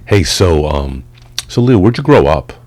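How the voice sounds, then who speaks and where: A man's voice: sits low, big pitch swings, a little slow, darkish tone. A man conducting a casual interview as a company boss, in an informal, chummy way. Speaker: company president interviewing an employee.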